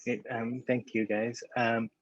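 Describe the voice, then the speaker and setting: A man from the Dominican Republic moderating an online panel discussion, halting and full of fillers and restarts. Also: medium pitch, flat delivery, dry recording. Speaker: panel moderator, magazine editor. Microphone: video call. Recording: dry, dull lo-fi video call audio.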